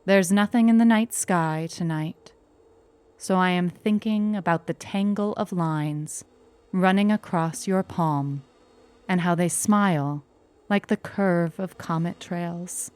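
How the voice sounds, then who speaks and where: Slow-paced woman reading literary fiction. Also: fairly low pitch, darkish tone, clear, resonant tone. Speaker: short story narrator. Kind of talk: literary audiobook narration, intimate first person.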